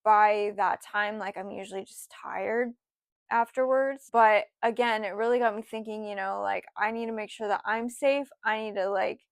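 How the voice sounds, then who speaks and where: A woman in her twenties, rambling through a casual personal story with lots of fillers, her voice sometimes dropping soft. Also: mid-range voice, steady tone, moderate pace, no echo, clean studio sound. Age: 20s. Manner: rambling, lots of "like" and "you know", voice drops soft at times. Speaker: vlogger.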